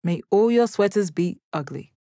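An actress voicing a calm, stoic alien warrior woman in a video game, with measured, deadpan, formal phrasing and a confident, kind temper. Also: medium pitch, dry recording, clean studio sound, natural voice. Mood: warm, composed. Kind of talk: video game character voice lines.

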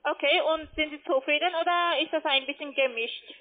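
A woman speaking non-native German, giving a scripted cold-call sales pitch. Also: steady tone, brisk. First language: not German. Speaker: cold caller selling trading platforms. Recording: muffled lo-fi phone line.

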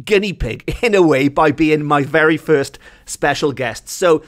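A man's voice: fairly high pitch, unhurried. A man hosting a podcast in a casual, chatty, self-deprecating way. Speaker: podcast host.

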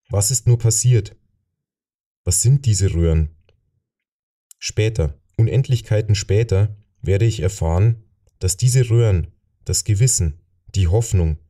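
A man reads a first-person novel as an audiobook narrator. His voice is lowish and very dark and mellow, with the measured phrasing of scripted storytelling.

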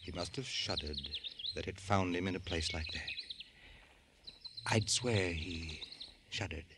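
A man's voice: low voice, a little slow. A man playing a bitter, cultured doctor in an old radio drama, speaking in a precise, sardonic, theatrical way. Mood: subdued, wry. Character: bitter, cultured male doctor, resentful brother of a rich man. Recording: old radio recording with faint noise.

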